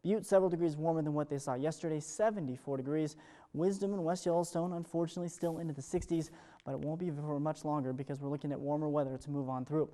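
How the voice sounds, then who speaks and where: A male television meteorologist delivers a morning forecast in upbeat, ad-libbed broadcast patter. He moves through it at a brisk clip. His tone is smooth-edged and mellow, without sharpness. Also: on the high side, steady tone. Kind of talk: live weather forecast presentation.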